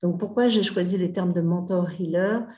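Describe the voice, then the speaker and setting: A woman's voice: fairly low pitch, moderate pace, dark tone, reverberant. A middle-aged woman mentor giving a casual spoken talk, hesitant, with many fillers and restarts. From Australia. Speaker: grief mentor and healer.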